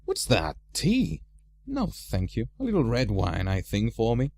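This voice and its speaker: A man's voice: mid-range voice, huge pitch swings, measured pace, creaky, a little mellow, a little hiss or hum, full character voice. A man voicing a genial old wizard in a storybook, courteous but commanding in manner.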